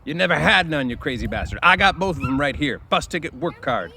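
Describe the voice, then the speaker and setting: A man's voice: a little high, animated, quick pace, bright, forceful tone, pitched above the performer's own voice, exaggerated voice. A man voicing a scowling, impatient small ranch hand who scolds irritably in folksy, ungrammatical rural talk for a storybook. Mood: stern. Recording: very noisy outdoor recording, wind, traffic.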